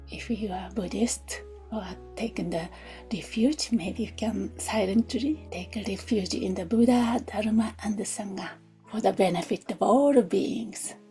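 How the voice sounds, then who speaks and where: A woman leading a guided meditation in a soft, gentle, hushed way, often near a whisper, with long pauses. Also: medium pitch. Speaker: meditation teacher. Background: music.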